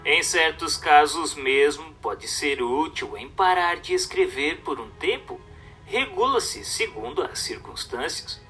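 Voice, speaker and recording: A man with a Brazilian accent reading a religious treatise aloud as a narrator, in a formal, measured, didactic way. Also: fairly high pitch, pushed and bright.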